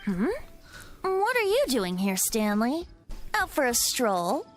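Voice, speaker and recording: A woman's voice: a little high, very animated, takes it slowly. A woman voicing a youthful boyish bard in an anime video game, playful, sly and musing with a lilting theatrical delivery. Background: game music.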